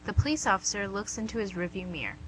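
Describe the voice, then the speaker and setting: A woman's voice: medium pitch, quick pace, fairly bright tone, rough recording. A woman reading scripted narration and stage directions for a radio play. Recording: hum and clear background noise.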